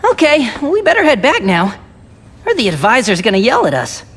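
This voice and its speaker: Lively, chatty, boyish young soldier. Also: female voice, on the high side, moderate pace, husky, full character voice. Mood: bright, proud. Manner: chatty, informal, earnest. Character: cheerful, talkative, boyish young soldier, earnest and teasing. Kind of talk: English video game voice acting.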